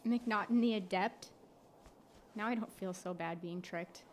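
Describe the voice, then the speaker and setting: A woman as a slow, hesitant young apprentice. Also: mid-range voice, a little hiss or hum, lightly acted. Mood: uneasy.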